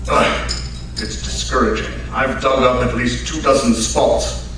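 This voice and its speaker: A man's voice: medium pitch, steady tone, a little slow, close to an everyday voice. A man playing a grumbling, sarcastic Victorian detective's sidekick in a live old-time radio drama, full of bluster. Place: live stage performance at radio club event. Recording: very echoey hall, distant mic, faint noise.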